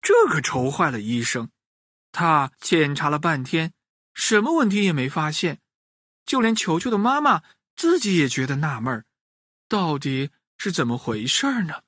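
Husky guy reading a kids story, super animated, dry mic. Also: fairly high pitch, normal speed, no room sound. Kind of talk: children's bedtime story narration.

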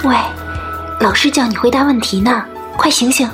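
A woman plays a teenage schoolgirl in an audio drama. She has a fairly high voice and a caring manner, with soft music behind. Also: normal speed, natural voice. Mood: urgent, prodding. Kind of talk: Chinese audio drama acting.

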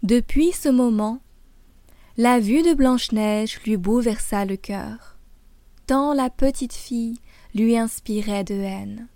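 Female French teacher, native speaker from France, very slow delivery, careful enunciation, dry close sound, guitar music behind. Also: a little high, mellow, no room sound. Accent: French accent in Mandarin. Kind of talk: scripted language lesson with slow storybook reading.

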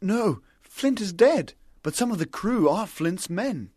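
Man doing a young adventure boy for a storybook, earnest and urgent, light high voice, lots of up and down. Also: expressive, slow pace, darkish tone, no room sound, clean studio sound, heavily acted. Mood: grave. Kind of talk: dramatised storybook reading.

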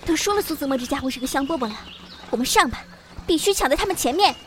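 Very high, girlish junior assassin. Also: lively intonation, quick pace, very noisy. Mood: eager. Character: clingy, menacing young female junior assassin. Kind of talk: Chinese period audio drama acting. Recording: music behind the voice.

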